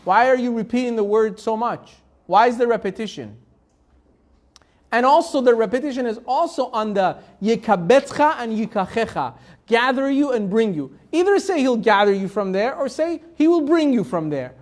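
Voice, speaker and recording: A man's voice: very high-pitched, expressive, normal speed. A rabbi teaching a live Torah class. He reads Hebrew verses, translates them phrase by phrase, and asks the class rhetorical questions in a loose, conversational way. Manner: conversational, with restarts and fillers.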